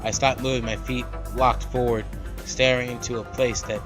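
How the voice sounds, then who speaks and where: A man hosting a let's play, reading a visual novel aloud with animated, slangy casual asides. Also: medium pitch, normal speed. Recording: loud background music. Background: game music.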